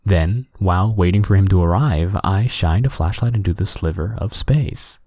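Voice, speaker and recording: Soft, mellow man reading horror stories. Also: low-pitched, normal speed, dry recording, clean studio sound. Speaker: narrator. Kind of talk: scripted horror story narration, relaxing ASMR-like bedtime reading.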